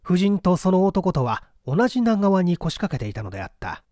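Animated man reading a mystery story. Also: sits high, normal speed, dry recording, studio quality. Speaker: audiobook reader. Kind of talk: scripted dramatic narration of an old mystery novel.

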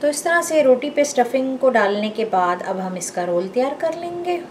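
A woman hosting a home cooking show, calmly explaining each step and addressing her viewers directly. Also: high-pitched, normal speed, noisy background. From Pakistan. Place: home kitchen.

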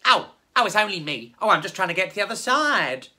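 Storyteller as a flustered goat girl, quick and nervous, lots of oh oh, lively and bright. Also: mid-range voice. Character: flustered female goat sister.

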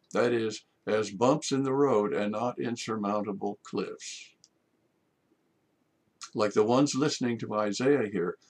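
An older man, a priest, delivering a measured homily from his study, with rhetorical questions. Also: medium pitch, creaky, slightly rough. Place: home study with bookshelves.

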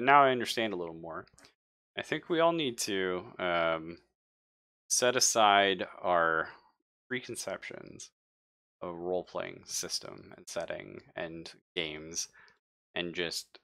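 A man speaking casually and haltingly as game master of an online tabletop game, thinking aloud. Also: lowish voice, bright, forceful tone, no room sound, clean studio sound.

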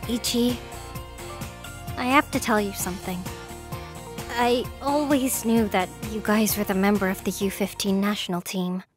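A woman's voice: mid-range voice, fairly even intonation, normal speed, long pauses, over music, natural voice. A woman voicing an earnest, easily flustered teenage girl in a manga dub, girlish and sincere. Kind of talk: manga dub character acting.

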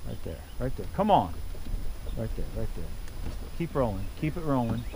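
A man's voice: medium pitch, normal speed, noisy background. Chatty man fishing from a boat. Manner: chatty, rambling, excitable when a fish bites. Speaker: fishing vlogger. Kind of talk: casual running commentary while fishing. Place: small boat on a river, outdoors. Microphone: body-mounted action camera.

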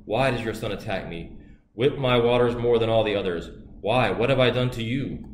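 Guy doing a booming river god, big and boastful, loud and roomy. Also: steady tone, moderate pace, close to an everyday voice. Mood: pleading. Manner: booming, then pleading and desperate. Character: furious male river god, vast and boastful. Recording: roomy with faint hiss.

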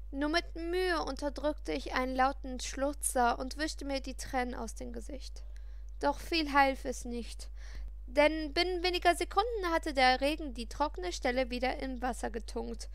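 A woman reading a first-person story aloud in an expressive, dramatic way. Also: sits high, no room sound. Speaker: audiobook reader.

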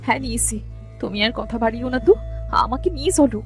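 Casting: actress as determined, worried village wife, cartoon tale, high voice, quick urgent delivery. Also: female voice, lightly acted. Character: determined, worried married daughter going to her mother. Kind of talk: Bengali cartoon story dialogue. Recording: loud music under the voice.

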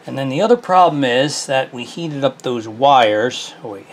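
Easygoing middle-aged guy explaining a fix at his bench, thinks out loud, bit of room hum. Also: mid-range voice, moderate pace. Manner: relaxed, self-deprecating, thinking aloud with restarts. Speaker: small engine repair host. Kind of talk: casual hands-on repair tutorial. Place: workshop bench, indoors.

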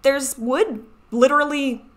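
Bright-voiced young Chicago woman, rambling. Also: high voice, unhurried. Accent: Chicago American. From Chicago, United States. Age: young adult. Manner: rambling, self-interrupting. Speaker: video host. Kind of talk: casual reaction commentary. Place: small furnished room at home. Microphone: desk microphone, seen at frame edge.

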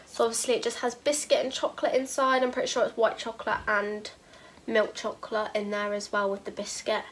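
Bright, bunged-up British woman gushing. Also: a little high, flat delivery, fairly quick, slight background noise. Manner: gushing, repeats "really, really cute". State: bunged up with a cold. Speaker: haul vlogger. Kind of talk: casual chat to camera. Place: bedroom, indoors.

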